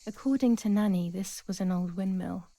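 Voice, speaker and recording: Mellow, pensive young woman played by an actress. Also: mid-range voice, a little fast, lightly acted. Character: young Italian woman in wartime, pensive and uneasy. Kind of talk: first-person game monologue.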